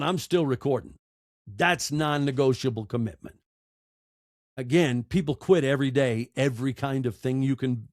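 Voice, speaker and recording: A man in his sixties with an American accent, giving reflective, earnest interview answers in short, emphatic, deliberate phrases. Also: fairly high pitch, a little mellow, no echo, clean studio sound. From United States. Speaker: podcaster and storyteller, interview guest.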